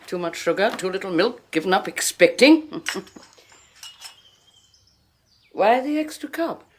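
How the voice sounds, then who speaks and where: A woman's voice: mid-range voice, very animated. A despotic, mocking rich woman. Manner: sneering, theatrical, drawn-out mockery. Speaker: Henrietta Forsythe. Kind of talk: 1980s radio horror drama acting.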